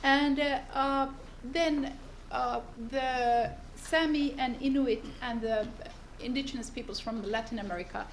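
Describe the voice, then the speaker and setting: Brief: woman from northern Finland, seminar speaker, gravelly and fairly high voice, unhurried delivery with searching pauses. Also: steady tone, a little hiss or hum. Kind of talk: seminar presentation. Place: seminar room.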